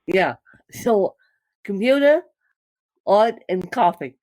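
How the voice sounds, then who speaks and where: A woman hosting a podcast, asking interview questions in a measured way. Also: mid-range voice, lively intonation, a little mellow, dry recording, low-quality recording. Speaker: podcast host.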